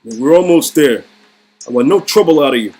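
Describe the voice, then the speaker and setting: A man playing a husky, bossy prison guard. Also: a little high, expressive, brisk, darkish tone. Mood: stern. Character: gruff, impatient male guard escorting prisoners.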